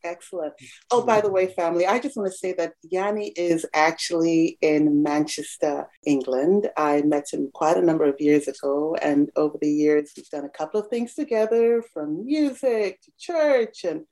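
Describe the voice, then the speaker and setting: A woman hosting a podcast, introducing her guest and asking questions in a measured way. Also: low-pitched, warm tone, rough recording. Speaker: podcast host.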